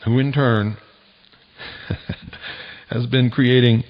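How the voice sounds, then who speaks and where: An American man hosting a talk radio monologue, speaking deliberately with dramatic pauses and a portentous, preaching manner, on an old shortwave recording. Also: medium pitch, fairly even intonation, lo-fi sound. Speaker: radio show host. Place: radio broadcast.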